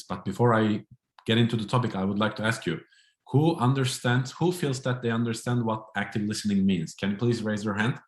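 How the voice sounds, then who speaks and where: Deep-voiced trainer man. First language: Turkish. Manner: friendly, explanatory, light laughter. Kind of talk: online training session. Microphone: video call. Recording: roomy echo.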